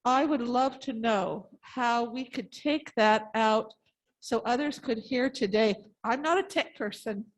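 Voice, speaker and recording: A woman's voice: on the high side, unhurried, poor audio. An elderly woman sharing heartfelt, unscripted thoughts at a church gathering in an earnest, emphatic way. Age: 70s or older. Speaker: congregation member responding to a message.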